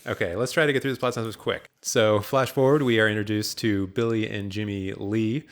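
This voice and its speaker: A man with a fairly low voice talks casually as a podcast host. He rambles through his storytelling with a joking, improvised feel, as if recapping something for friends. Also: fairly even intonation, fairly quick. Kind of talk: casual film recap chat.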